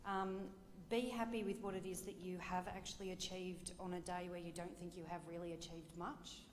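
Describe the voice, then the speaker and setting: A woman's voice: lowish voice, little pitch movement, moderate pace. A woman at a conference, confidently reporting her table's points to the room. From Victoria, Australia. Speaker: workshop participant. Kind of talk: reporting back to a group. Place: large conference hall. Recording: echoey hall with background noise.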